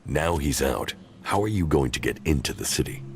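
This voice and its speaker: Very deep, gravelly stoic mercenary. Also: male voice, expressive, normal speed. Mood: cool, businesslike. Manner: terse, blunt. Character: stoic, mercenary ex-commander, male hero of few words. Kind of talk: video game dialogue acting.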